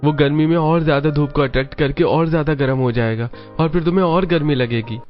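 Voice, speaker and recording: A man's voice: a little high, fairly quick, natural voice. A man playing a kind, supportive young husband in a cartoon family story, in a dull recording with music behind. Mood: admiring. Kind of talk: Hindi cartoon story dialogue.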